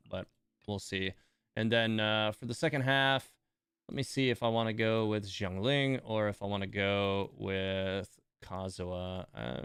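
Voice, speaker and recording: Low, gravelly-edged man thinking aloud on stream. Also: fairly bright tone, dry recording, studio quality. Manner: musing, talks choices through to himself. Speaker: livestream gamer, host. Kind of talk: casual gameplay livestream commentary, thinking aloud.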